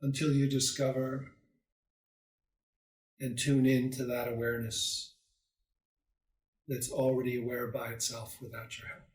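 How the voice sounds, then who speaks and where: Slow-paced man guiding meditation, roomy echo. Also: medium pitch. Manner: calm, soothing, with long pauses between short phrases. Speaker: meditation teacher. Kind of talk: guided meditation. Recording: roomy echo, distant microphone.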